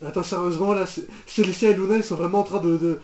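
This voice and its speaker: High-voiced young man reacting, halting and incredulous. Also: fairly even intonation, music in the background. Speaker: reaction video host. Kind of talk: casual reaction commentary.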